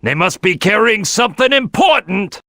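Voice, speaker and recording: Brash crocodile team boss for a game: gruff, boisterous, forceful, swooping delivery, very dry recording. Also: male voice, high-pitched, sing-song, normal speed, dry recording, full character voice. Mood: bossy, assured. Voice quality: gruff, gravelly. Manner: loud, boisterous, bossy. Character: big brash male crocodile detective, gruff team leader. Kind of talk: video game character acting.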